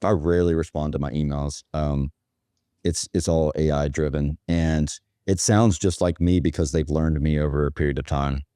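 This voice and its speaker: A man with a deep, relaxed voice speaks casually as an interview guest. His delivery is plainspoken and anecdotal, and the sound is dry and close. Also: normal speed, no echo. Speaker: podcast guest, automation agency owner. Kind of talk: casual conversational interview talk.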